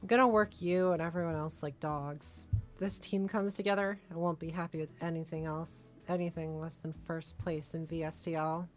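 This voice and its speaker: A woman's voice: low-pitched, steady tone, normal speed, faint music behind, natural voice. A woman voicing a blunt, bossy and demanding female esports teammate in a visual novel. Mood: stern. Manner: blunt, commanding.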